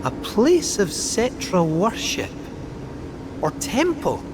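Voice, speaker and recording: Excitable fantasy game creature: very high, theatrical and jaunty, noisy background. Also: male voice, slow, full character voice. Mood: curious. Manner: theatrical, animated. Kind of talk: video game character acting.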